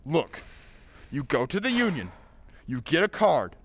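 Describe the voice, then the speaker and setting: A young man acts a know-it-all straight man in a radio comedy bit. His voice is bright and fairly high, with lively swings as he impatiently argues his point. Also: a little slow, heavily acted. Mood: smug, impatient. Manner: argumentative, matter-of-fact. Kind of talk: old-time radio comedy routine.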